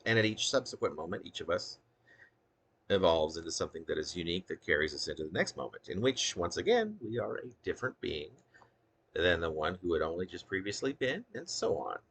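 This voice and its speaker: Husky, low-voiced man reading prose poetry. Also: big pitch swings, brisk, a bit bright. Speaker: poet reading own work. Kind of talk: spoken poetry reading, essay-like monologue with occasional unscripted asides.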